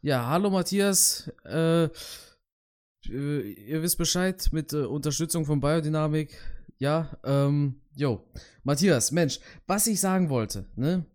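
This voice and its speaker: A man's voice: on the high side, animated, slow pace, darkish tone. A man co-hosting a casual podcast about martial arts, talking in loose chat with lots of fillers and restarts. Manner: hesitant, many fillers and restarts. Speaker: podcast co-host.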